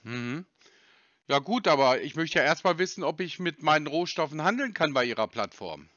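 A man's voice: fairly high pitch, animated, moderate pace, pushed and bright, no room sound. A man posing as a customer on a phone call, probing and mock-naive, arguing and picking apart what he hears. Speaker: scam baiter posing as a customer.

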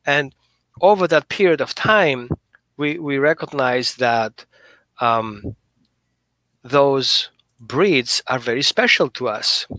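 Bright-voiced middle-aged man hosting a chat. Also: mid-range voice, expressive, takes it slowly, dry recording, low-quality recording. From Greece. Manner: friendly, explanatory, with small hesitations. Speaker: host, holistic dog behaviorist. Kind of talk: casual video-call chat. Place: small room indoors. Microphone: headset mic on a video call.

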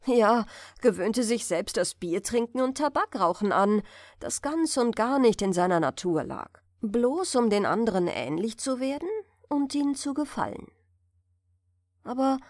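Expressive woman reading a fairy tale. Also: on the high side, measured pace, no echo, clean studio sound. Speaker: audiobook narrator. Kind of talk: fairy tale narration for bedtime.

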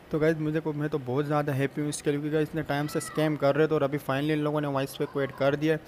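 A man's voice: on the high side, level tone, fast talker, a little mellow, slight background noise. A young man doing casual gaming commentary, speaking informally and directly to his viewers. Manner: addresses viewers informally and repeatedly. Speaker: gaming YouTuber. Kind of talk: casual gameplay news commentary. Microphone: phone screen recorder voice-over.

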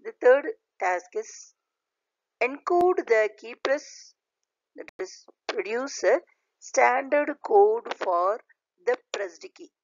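A woman lecturer reading a scripted slide lesson aloud in a deliberate, halting way, in short phrases. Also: fairly high pitch, expressive, no echo. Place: voice-over recorded over slides. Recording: dull, lo-fi voice recording.

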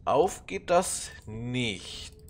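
A man with a stuffy nose from a cold, musing haltingly through a puzzle game with plenty of fillers. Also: medium pitch, very animated. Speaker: let's play gamer. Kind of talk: casual puzzle game commentary.

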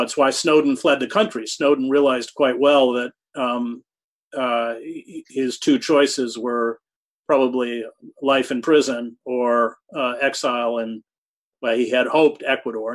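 A man in his sixties answering interview questions over a video call from a home study, speaking thoughtfully with halting restarts and hard emphasis on key words. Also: medium pitch. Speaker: guest, journalist. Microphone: video call webcam.

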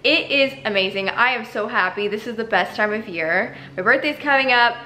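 A woman's voice: fairly high pitch, moderate pace, sharp tone, a little hiss or hum, low-quality recording. Bubbly woman in her twenties vlogging. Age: 20s. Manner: bubbly, gushing, talks straight to camera. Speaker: lifestyle vlogger. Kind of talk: casual chatty vlog. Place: apartment living room, indoors.

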